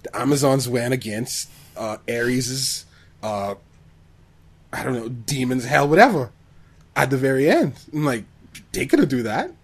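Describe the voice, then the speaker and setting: Husky guy on a film podcast, super lively pitch, really expressive, casual chat. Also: measured pace. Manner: expressive, acts out reactions and quotes. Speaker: podcast co-host.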